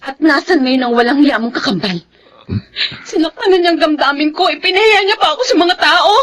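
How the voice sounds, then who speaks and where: Jilted, bitter young woman, high-voiced. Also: animated, brisk, a bit bright. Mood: indignant, tearful. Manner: tearful, indignant. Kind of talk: Tagalog radio drama acting.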